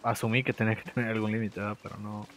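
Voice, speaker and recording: A man's voice: lowish voice, level tone, racing, fairly bright tone. A man chatting casually and expressively in slang as he plays a game with others on voice chat. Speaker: gamer in a group play session. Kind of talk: casual chat while gaming. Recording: clearly noisy voice chat recording.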